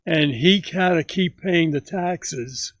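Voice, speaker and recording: A man in his nineties recalling his childhood for a family interview, speaking slowly with halting pauses. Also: on the high side, a bit bright, dry recording, clean studio sound. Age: 90s. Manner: slow, halting recollection with many pauses. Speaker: grandfather telling stories.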